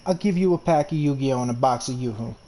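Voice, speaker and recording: Crude, boastful young man, mellow voice. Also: mid-range voice, quick pace, a little hiss or hum. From New York. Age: 20s. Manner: crude, boastful storytelling with frequent restarts. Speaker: gamer in voice chat. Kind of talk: casual chat.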